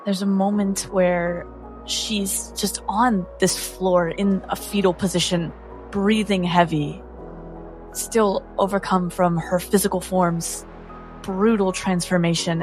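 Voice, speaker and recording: A woman's voice: on the low side, steady tone, slow, soft background music, poor audio. A woman playing a tabletop game, improvising narration of what her character does. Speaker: tabletop roleplay player.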